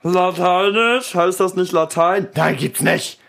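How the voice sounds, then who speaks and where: A man's voice: high voice, slow pace, creaky, fairly bright tone. A man co-hosting a podcast, laid-back and dryly teasing in casual chat. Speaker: podcast co-host.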